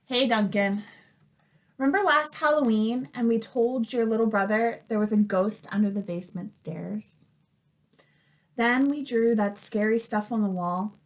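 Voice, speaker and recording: A scared, abandoned crayon in a picture book, voiced by a woman. It speaks slowly in a high voice. The manner is sheepish and pleading. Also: fairly bright tone.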